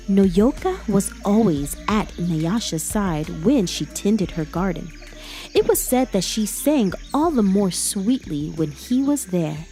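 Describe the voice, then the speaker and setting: A woman hosting storytime for children, reading and commenting in an expressive, emphatic, animated way. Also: medium pitch.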